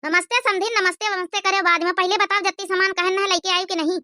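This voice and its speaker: Casting: woman playing scolding cartoon matriarch, Awadhi accent, extremely high sharp voice, bossy and demanding. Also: steady tone, fast talker, no room sound, clean studio sound. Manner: bossy, nagging. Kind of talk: cartoon comedy dialogue.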